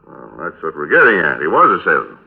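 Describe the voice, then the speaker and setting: An American actor playing a folksy, patient police lieutenant questioning people in an old-time radio crime drama. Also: male voice, a bass voice, huge pitch swings, fast talker, vocal fry, slightly rough, full character voice. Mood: gently probing. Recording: tinny vintage radio recording.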